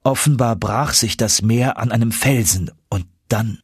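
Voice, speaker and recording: A man narrating an audiobook story in an expressive, suspenseful, dramatic manner. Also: mid-range voice, unhurried, breathy edge, no room sound, clean studio sound.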